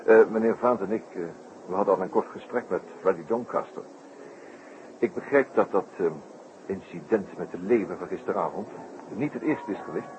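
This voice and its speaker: Actor as deep-voiced, composed gentleman detective, noisy old recording. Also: normal speed, natural voice. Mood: polite, probing. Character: composed, courteous male detective known as the Shadow. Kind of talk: 1960s Dutch radio drama acting. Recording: noisy muffled 1960s radio drama recording.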